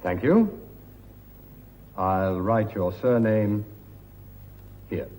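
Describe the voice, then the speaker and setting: An actor playing a polite, patient British immigration officer who helps a traveller fill in a form. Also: male voice, lowish voice, drawn-out words, mellow, big-room sound. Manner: courteous, patient, formal.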